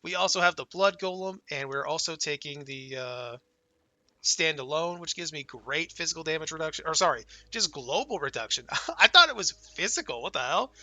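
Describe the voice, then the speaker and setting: A young man with a very bright, forward voice sits close to a dry, dead-sounding mic, chatting loosely about his game. He swears casually and sounds excited, though his voice is strained and fading from a cold. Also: on the high side, moderate pace, dry recording. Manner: laid-back, enthusiastic, swears casually. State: voice going hoarse from illness. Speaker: gaming channel host. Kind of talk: casual gameplay commentary.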